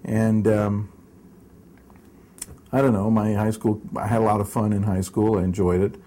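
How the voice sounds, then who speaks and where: A man's voice: on the low side, little pitch movement, normal speed, dark tone. A man in his sixties raised in Southern California, telling his life story in an oral history interview with many hesitations and wry asides. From United States, raised in Southern California. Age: 60s. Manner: rambling, hesitant with many fillers and restarts; wry, self-mocking humor.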